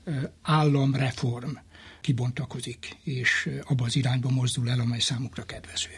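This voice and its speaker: A man speaking as an expert guest in a history interview, explaining haltingly with repeated words and pauses. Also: medium pitch, flat delivery, breathy edge.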